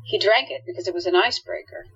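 A woman's voice: on the low side, fast, a bit bright, husky, dull audio. A woman reading scripted narration as the narrator of a radio play.

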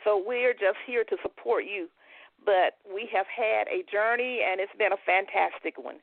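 A woman's voice: mid-range voice, normal speed, dry recording, phone-quality sound. A woman co-hosting a podcast, talking in a friendly, conversational way. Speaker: podcast co-host.

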